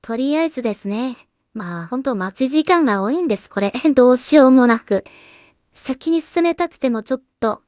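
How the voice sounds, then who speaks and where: A woman's voice: sits high, animated, brisk, a little mellow, dry recording, studio quality. A woman casually talking to herself as she works, often mumbling her thoughts aloud as a solo creator on a stream. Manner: mumbling, thinking aloud while working. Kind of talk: casual work-along commentary, talking to herself.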